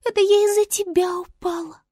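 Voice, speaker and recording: A woman's voice: extremely high pitch, steady tone, brisk, dark tone, no room sound, studio quality, voice pushed up. A woman voicing a small, touchy, tearful fallen star in a children's story, theatrical and plaintive. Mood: indignant.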